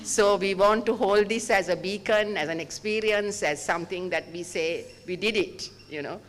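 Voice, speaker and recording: A man's voice: high voice, normal speed, a bit bright. A man, a chairman giving praising closing remarks at a panel. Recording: reverberant hall with faint noise.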